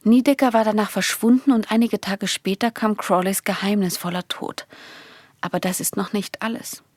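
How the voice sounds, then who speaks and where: An actress playing a hardened communist agent in a German radio play, confiding in a condescending, insistent way. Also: female voice, medium pitch, fairly even intonation, normal speed, no room sound, studio quality, close to an everyday voice. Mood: uneasy, secretive. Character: hardened female communist agent confiding in a naive young lover.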